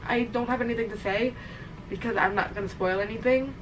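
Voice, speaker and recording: A woman's voice: fairly high pitch, a little fast. A young woman in a casual reading vlog, congested and teary, rambling emotionally with lots of "like" and "literally.". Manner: emotional, rambling, swears, says "like" and "literally" often. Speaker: reading vlogger. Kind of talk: casual vlog chat to camera. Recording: distant mic with clear background noise.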